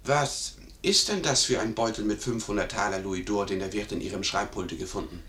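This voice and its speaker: An actor playing a blunt, hot-headed, loyal manservant in an old German radio play, in an echoey recording. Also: male voice, fairly low pitch, fairly quick, fairly bright tone, lightly acted. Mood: earnest. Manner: blunt, indignant. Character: gruff, hot-headed, loyal male servant.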